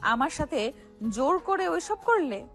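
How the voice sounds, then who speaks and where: High-pitched feisty young wife, acted by a woman. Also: sing-song, a little slow, fairly bright tone, faint music behind, full character voice. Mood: accusing. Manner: scolding, exclamatory, slangy. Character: feisty, indignant young wife, sharp-tongued and dramatic. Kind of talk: audio romance story acting.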